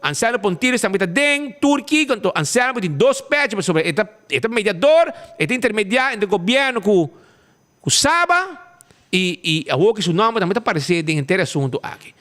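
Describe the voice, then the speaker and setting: Man, show host, high voice, very lively pitch, stumbling restarts. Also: a little fast. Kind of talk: casual live show chat and commentary.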